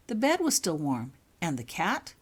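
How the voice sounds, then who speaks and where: Woman voicing a sharp, formal older headmistress. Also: on the low side, wildly swooping pitch, normal speed, breathy edge, full character voice. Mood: worried. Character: stern, worried older headmistress of a wizard school.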